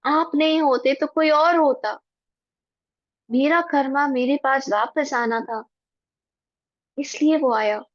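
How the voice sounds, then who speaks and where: A woman leading a guided forgiveness meditation in a soft, prayerful voice with slow repetitions. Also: high-pitched, no echo. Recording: dull lo-fi, dry.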